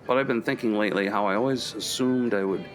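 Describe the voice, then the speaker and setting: A man's voice: on the low side, fast, natural voice. A man acting in a radio play as an introspective middle-aged professor, speaking in a hesitant, reflective, conversational way. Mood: musing. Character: introspective, kindly anthropology professor, a parent.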